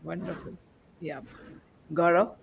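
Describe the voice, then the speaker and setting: A man's voice: a little high, little pitch movement, slow, gravelly, dull audio, noisy background. A man hosting a casual panel discussion in an encouraging manner, often voicing approval. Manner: encouraging, frequent affirmations. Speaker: podcast host.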